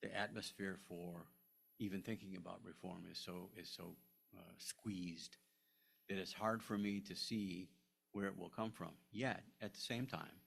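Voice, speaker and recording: An older man, a historian, answering audience questions at a lecture, halting with many restarts and fillers. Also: low voice, fairly even intonation, vocal fry, a bit bright, breathy edge. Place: lecture room.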